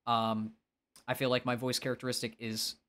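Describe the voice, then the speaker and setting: Male game streamer, nasal tone, mid-range pitch, casual, expressive, self-deprecating, chatting with viewers. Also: moderate pace. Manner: expressive, self-deprecating, breaking off to answer chat. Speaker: game livestreamer. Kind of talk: casual livestream chat while gaming.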